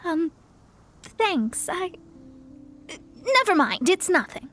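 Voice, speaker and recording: A woman's voice: very high voice, very animated, slow pace, fairly bright tone, exaggerated voice. A woman voicing a proud young female astrologer in a video game, formal and theatrical, easily flustered. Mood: awkward. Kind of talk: video game character acting. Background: light music.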